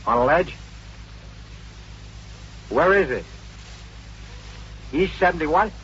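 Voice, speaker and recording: An actor in a 1950s radio drama playing a terse, businesslike police desk sergeant firing off questions. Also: male voice, fairly high pitch, expressive, slow, fairly bright tone, slightly rough, heavily acted. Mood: urgent, official. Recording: noisy, dull vintage radio recording.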